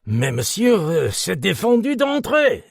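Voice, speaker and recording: A man's voice: sits high, huge pitch swings, a little slow, creaky, dry recording, studio quality, voice pushed up, heavily acted. A man voicing a flustered French policeman who speaks with a French accent. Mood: exasperated.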